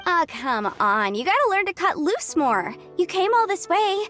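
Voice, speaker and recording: Breezy young female game character: upbeat, helpful, high voice, soft music underneath. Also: sing-song, moderate pace, full character voice. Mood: upbeat, encouraging. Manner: bright, encouraging, carefree. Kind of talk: video game voice acting.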